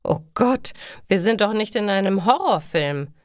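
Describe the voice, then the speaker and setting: A woman's voice: medium pitch, expressive, measured pace, no echo, studio quality, pitched above the performer's own voice. A woman voicing a cautious, easily scared schoolboy in a storybook reading for language learners. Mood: annoyed, reluctant. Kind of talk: acted dialogue in a learner's storybook.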